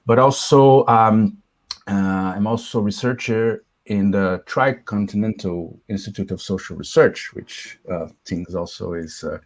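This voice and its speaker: A man from Brazil, a guest on a video chat, who talks hesitantly and pauses to search for words. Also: fairly low pitch. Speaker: guest, researcher. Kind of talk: casual interview talk.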